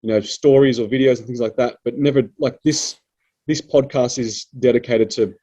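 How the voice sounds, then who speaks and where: A man's voice: medium pitch, steady tone, normal speed, dark tone, breathy edge. A man in his mid-thirties from Melbourne with an Australian accent, hosting a podcast and reading out listener questions in easygoing chat. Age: 30s. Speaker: prep coach podcast host reading questions.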